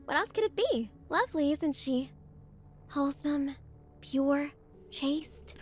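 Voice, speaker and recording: A woman's voice: high-pitched, lively intonation, takes it slowly, faint music behind. An actress playing a sweet, dreamy younger sister in a horror visual novel, girlish and lilting. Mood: dreamy, serene. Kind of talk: dramatic visual novel acting.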